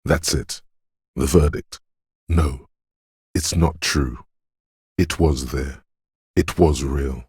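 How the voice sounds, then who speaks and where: A man voicing an eerie, mystical inner voice of intuition in a video game, gravelly, cryptic and ominous, speaking in theatrical second-person narration. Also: slow pace, pauses often, a little mellow, no room sound, clean studio sound, exaggerated voice. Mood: cryptic, insistent. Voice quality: very deep, gravelly rasp.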